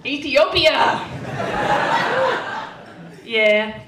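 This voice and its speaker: A young woman with a strong Australian accent and a nasal twang delivers sweary stand-up comedy in a fairly high voice. She is heard from a distance in an echoey club with noise behind her. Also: very slow.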